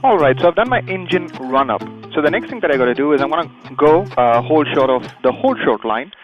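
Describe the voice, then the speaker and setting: A man's voice: a little high, expressive, fast talker, soft background music. Man explaining flying, in dull lo-fi audio. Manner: explanatory, step by step. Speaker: private pilot, video host. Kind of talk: instructional vlog commentary with radio calls from the cockpit. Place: small plane cockpit.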